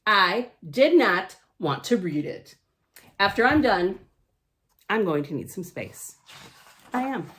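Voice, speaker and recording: A woman reading a picture book aloud to children, theatrically emphatic and playfully grumpy, with chatty deadpan asides. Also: medium pitch. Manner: theatrical, emphatic, with pauses and repeated words for effect. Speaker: library storytime reader.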